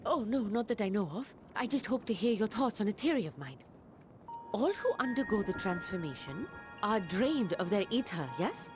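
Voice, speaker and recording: A woman's voice: medium pitch, very animated, normal speed, natural voice. An actress voicing an earnest, caring woman scholar in a video game, speaking in formal, precise language. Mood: earnest, intent. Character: earnest, caring woman scholar theorizing about mysterious energy. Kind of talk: scripted video game voice acting. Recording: background music under the voice.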